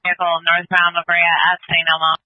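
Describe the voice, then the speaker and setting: A male American dispatcher delivers clipped emergency calls in a flat, fairly high voice. He talks quickly. The sound is dry and squeezed through a narrow, tinny two-way radio. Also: no room sound.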